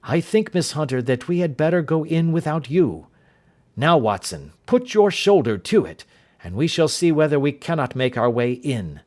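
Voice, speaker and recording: A man voicing a sharp-minded male detective who speaks crisply and decisively. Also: a little high, sing-song, normal speed, voice pushed up. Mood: urgent.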